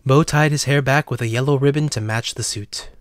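A man's voice: mid-range voice, a little fast, clear, resonant tone, no echo, studio quality. A man reading scripted narration for a fantasy romance audio drama.

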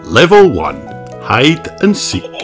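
A woman reads a children's storybook aloud at a very slow pace. Her pitch swings widely as she separates each word with care for early readers, and soft music plays behind her. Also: huge pitch swings. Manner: deliberate, carefully separated words. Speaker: narrator reading a children's book aloud. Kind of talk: slow read-aloud storytelling for young learners.

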